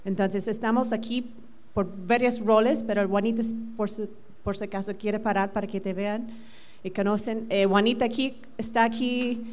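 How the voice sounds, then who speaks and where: A middle-aged American woman whose first language is English, giving a conference talk in a large hall on a handheld mic, quick with many fillers. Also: medium pitch, fairly even intonation, darkish tone. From United States. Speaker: conference presenter. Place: large hotel conference room. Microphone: handheld microphone.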